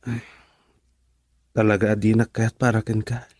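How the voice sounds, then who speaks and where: A man's voice: fairly low pitch, completely flat delivery, moderate pace, darkish tone, no echo, studio quality, close to an everyday voice. A man playing an affectionate, earnest boyfriend in a radio drama, speaking tenderly with an Ilocano accent. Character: devoted boyfriend, documentary cameraman, affectionate and earnest.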